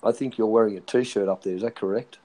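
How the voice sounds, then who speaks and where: An Australian man with a hoarse, partly lost voice and a phlegmy throat, chatting in a laid-back way with many fillers. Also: on the low side, steady tone, fast, dark tone. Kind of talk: casual chat.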